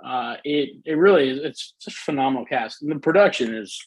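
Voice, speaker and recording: A middle-aged man talks eagerly in a casual interview, his soothing voice a little husky. The sound is dry and close, with no room behind it. He restarts often and fills pauses. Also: medium pitch, lively intonation, dry recording. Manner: enthusiastic, many fillers and restarts. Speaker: guest.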